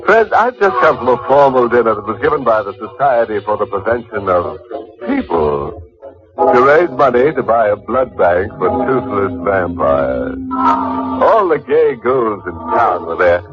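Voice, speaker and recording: An actor playing a ghoulish, mock-genial horror host in 1940s radio style, savoring morbid puns theatrically. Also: male voice, on the low side, sing-song, measured pace. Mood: gleefully macabre. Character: ghoulish, gleefully macabre male horror-show host. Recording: dull old radio recording with music.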